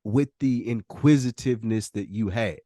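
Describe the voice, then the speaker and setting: A man's voice: fairly low pitch, moderate pace, warm tone, no room sound. An American man co-hosting a podcast, talking casually in an earnest, emphatic, slangy way and often repeating himself for emphasis. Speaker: podcast co-host. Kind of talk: casual conversational podcast talk.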